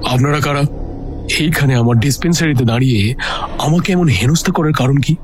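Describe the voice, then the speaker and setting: A male narrator acts out an angry, cornered villain doctor in an audio thriller. He grumbles indignantly at a middling pitch, with prominent music behind him. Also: a little fast, close to an everyday voice. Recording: loud music underneath.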